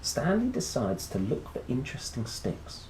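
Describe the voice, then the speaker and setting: A man reading a children's picture book aloud in a playful, expressive manner, with chatty asides. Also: medium pitch. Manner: playful, expressive, dramatic pauses and exclamations. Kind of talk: animated storybook read-aloud with chatty asides. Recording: roomy echo, faint background noise.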